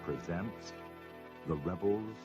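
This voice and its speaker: A man playing a solemn, portentous storyteller, narrating an audio drama in a measured, dramatic way. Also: sits low, expressive, music in the background. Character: grave, portentous male storyteller of space epics.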